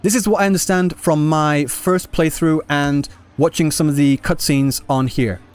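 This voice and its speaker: High-pitched man chatting on a game livestream. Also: steady tone, moderate pace, smooth. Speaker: game streamer. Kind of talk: casual livestream chat answering viewer comments. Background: game music.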